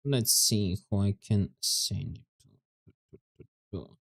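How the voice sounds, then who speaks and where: A man streaming a game, chatting casually and musing aloud, his voice turning hoarse and scratchy. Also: on the low side. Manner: relaxed, musing aloud, drawn-out words. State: voice going hoarse, scratchy throat. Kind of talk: casual livestream chat while gaming.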